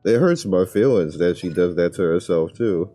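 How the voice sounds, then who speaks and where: A man's voice: low-pitched, normal speed, warm tone. A man giving casual gameplay commentary, thinking aloud in informal, slangy, muttered asides. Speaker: gaming video creator.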